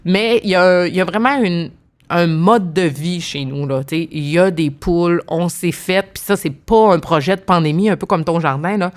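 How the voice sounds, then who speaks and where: Lively Québécois woman telling stories. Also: lowish voice, normal speed. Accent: Québécois French. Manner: animated storyteller, many restarts. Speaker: guest. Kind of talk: casual podcast chat.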